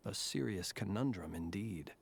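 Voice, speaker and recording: Courtly, morbid graveyard guard. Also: male voice, on the low side, lively intonation, moderate pace, vocal fry, heavy background noise. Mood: genial, eerie. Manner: formal, eloquent, politely theatrical. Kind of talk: video game voice acting.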